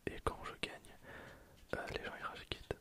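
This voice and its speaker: Whispering man doing ASMR game commentary. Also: normal speed. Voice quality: whispered, breathy. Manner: casual, informal. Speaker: gaming video creator.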